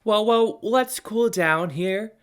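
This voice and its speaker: A young student playing a smooth, nosy talk-show host doctor in a radio play. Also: mid-range voice, takes it slowly, lightly acted. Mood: showy, steering. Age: teenager or young adult student.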